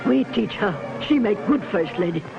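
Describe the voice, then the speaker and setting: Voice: mid-range voice, animated, moderate pace, vocal fry. An actor playing a stern, blunt servant who speaks in clipped broken English, in an old television western. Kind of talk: 1950s television western acting. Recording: muffled old 1950s TV soundtrack with music.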